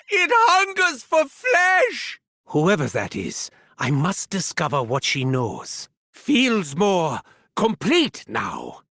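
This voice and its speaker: A man's voice: very high-pitched, sharp tone, no echo, clean studio sound, full character voice. A man playing an arrogant, gleefully sadistic German mad scientist in a video game, with a German accent and theatrical, pompous diction. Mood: frantic, manic, then calculating. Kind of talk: theatrical game character barks.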